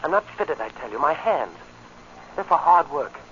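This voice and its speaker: An actor playing an earnest, troubled young American farm man, halting and agitated, in old-time radio drama style. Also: fairly high pitch, very animated, sharp tone. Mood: weary unease. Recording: muffled, hissy old radio recording.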